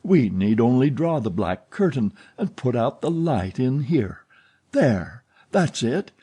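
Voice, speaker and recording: A man reading an audiobook, voicing a jealous, menacing man who speaks forcefully and with menace. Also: medium pitch, big pitch swings, slow, breathy edge, voice pushed up, exaggerated voice.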